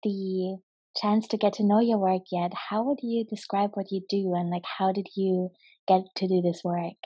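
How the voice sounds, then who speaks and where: A woman host asks short, gentle questions over a dry, slightly muffled remote call. Her voice is a bit husky, at an ordinary pitch. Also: level tone, moderate pace, no echo. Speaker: interview host. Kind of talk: casual interview questions.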